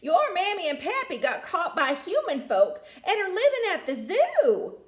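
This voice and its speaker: A woman acts a folksy storybook crow, blunt and drawling. The voice is high and swoops around in an echoey room. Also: huge pitch swings, a little slow, exaggerated voice. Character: crow bearing bad news, blunt and folksy.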